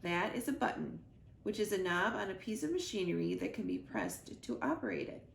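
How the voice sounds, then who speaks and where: A woman voicing a gentle, motherly female robot with measured, formal phrasing in a storybook reading. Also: mid-range voice, lively intonation, creaky, echoey room, slight background noise. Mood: matter-of-fact.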